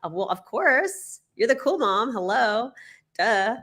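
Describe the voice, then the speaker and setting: A woman's voice: on the high side, sing-song, takes it slowly, fairly bright tone. Upbeat woman hosting an interview. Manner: upbeat, effusive, laughs easily. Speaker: host. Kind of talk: casual interview chat.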